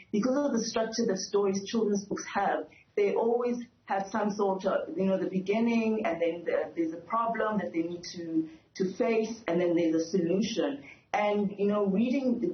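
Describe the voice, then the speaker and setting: Lady explaining stuff earnestly as an interview guest, kinda roomy muffled sound. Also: mid-range voice. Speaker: author and educator, interview guest. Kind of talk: explanatory live news interview. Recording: dull, echoey lo-fi remote link.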